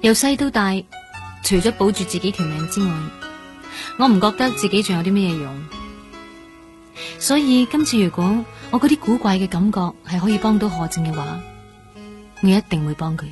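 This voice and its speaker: A woman acts out a young female patient in a Cantonese radio play from Hong Kong. She is frail yet earnest and independent, with a mid-pitched voice. Music plays faintly in the recording. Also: animated, moderate pace, long pauses, natural voice. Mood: wistful, resolute. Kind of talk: radio drama acting and first-person narration.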